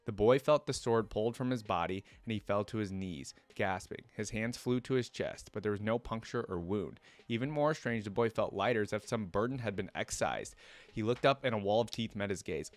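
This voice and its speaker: Low-voiced man reading and reacting. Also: no echo. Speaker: reaction video host. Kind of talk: reading a fantasy story aloud with casual reaction asides.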